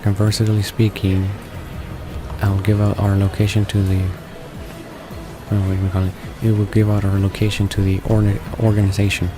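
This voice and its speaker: A man's voice: on the low side, completely flat delivery, normal speed, over music. A man reading visual novel text aloud as a let's play host, stumbling over words as he goes.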